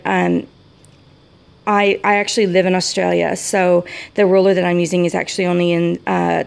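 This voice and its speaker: A low-voiced, very croaky American woman you would hear in a hobby video, meandering through her stationery piece by piece. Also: a little mellow. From United States. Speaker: vlogger showing a planner setup. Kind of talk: casual product walk-through.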